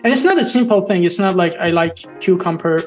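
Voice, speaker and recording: A man whose first language is Farsi, hosting an interview and speaking in an earnest, measured way. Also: sits high, soft background music. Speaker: host. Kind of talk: interview conversation.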